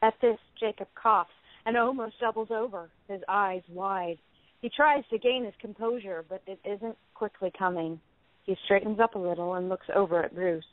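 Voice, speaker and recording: A woman's voice: medium pitch, moderate pace, no echo. A woman narrating the stage directions of a play in a scripted, dramatic reading. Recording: tinny phone-like band.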